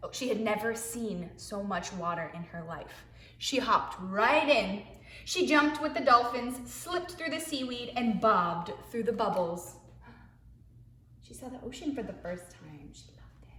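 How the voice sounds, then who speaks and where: A woman, a preschool teacher, reading a picture book aloud to young children in an expressive way, with hushed asides. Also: mid-range voice. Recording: echoey room.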